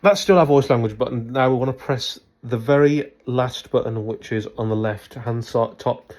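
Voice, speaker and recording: A man presenting a step-by-step product demonstration, instructing in a halting way as he thinks aloud. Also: medium pitch, a little mellow.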